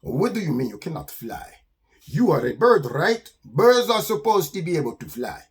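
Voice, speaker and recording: A man's voice: a little high, very animated, moderate pace. A man voicing a pudgy, vain male skunk in a children's story, pompous and boastful but kind. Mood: puzzled. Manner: pompous, grandly self-admiring, hesitant when questioned.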